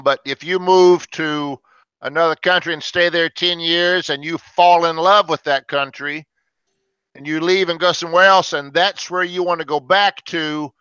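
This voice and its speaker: A man's voice: on the high side, bright, forceful tone, smooth, no room sound, muffled recording. An older man from Alabama teaching English conversation, patiently explaining with everyday examples to a group of learners. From Alabama, United States.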